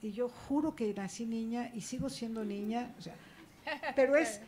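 A woman's voice: on the high side, moderate pace, a little mellow. A woman panelist speaking emphatically, checking in with rhetorical questions. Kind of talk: academic panel talk. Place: university auditorium panel.

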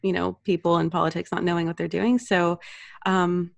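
A woman co-hosting a casual podcast, thoughtful and halting, stopping often to search for her words. Her voice sits fairly low, with a gravelly, vocal-fry texture. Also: little pitch movement, dull audio. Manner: thoughtful, halting, many fillers. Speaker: co-host.

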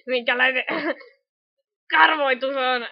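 High-voiced woman, dull recording. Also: fairly even intonation. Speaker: amateur fandub voice actor. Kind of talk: improvised comic cartoon dubbing.

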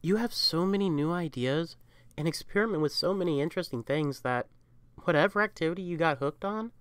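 A woman's voice: sits low, fairly quick, clear, resonant tone, no echo, lightly acted. A woman voicing an affectionate, protective young catperson comforting a lover in a roleplay audio, tender, coaxing and emphatic. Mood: fond.

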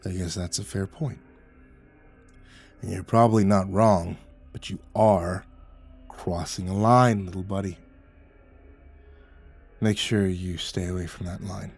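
A man voicing a bitter, boozy old male war veteran who talks in a gruff, low, sneering way over faint music. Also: steady tone, normal speed, a little mellow. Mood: brooding.

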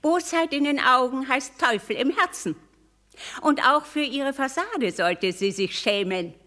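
A woman's voice: high-pitched, expressive, measured pace, sharp tone, exaggerated voice. An actress playing a stern, spiteful old mother-in-law in a radio drama, judging and scolding with oddly invented words. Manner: scolding, judgemental, invents word endings.

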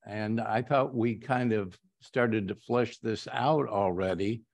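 American guy on a board, gravelly and halting, formal meeting talk, dry sound. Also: on the low side, no echo. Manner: halting, hesitant delivery. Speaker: board director. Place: public board meeting room.

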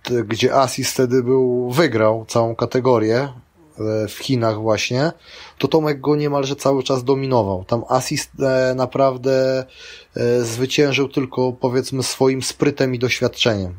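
A middle-aged man talks casually as an interview guest. His speech rambles through restarts and fillers at an ordinary middle pitch. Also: fairly even intonation, unhurried. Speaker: guest, grappling athlete and coach.

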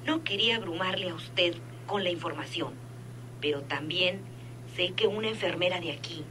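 A radio serial head nurse reporting as an informant. Her voice is low and sharp, her manner deferential and precise, with faint noise behind it. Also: moderate pace, low-quality recording. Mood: deferential, businesslike. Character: dutiful female head nurse secretly informing a crime boss. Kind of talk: radionovela acting.

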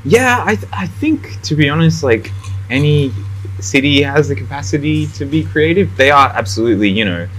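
A man in his thirties, born in India and raised in Australia, talking as a guest in a casual chat at a restaurant, animated and full of fillers. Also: a little high, normal speed, a little hiss or hum, rough recording. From born in India, grew up in Australia. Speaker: guest artist. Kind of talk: casual conversation. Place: restaurant, indoors.

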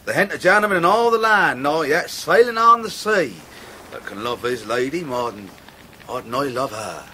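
A man's voice: fairly high pitch, animated, moderate pace, bright, forceful tone, a little hiss or hum. An actor playing a bashful, devoted young fisherman with an English rustic dialect in a radio drama. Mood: earnest, devoted. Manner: earnest, simple-hearted. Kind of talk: full-cast radio drama acting.

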